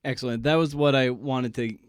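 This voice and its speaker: A man hosting a casual interview podcast, wordy and circling into his questions with halting phrasing. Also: medium pitch, steady tone, clear, resonant tone, no room sound, studio quality.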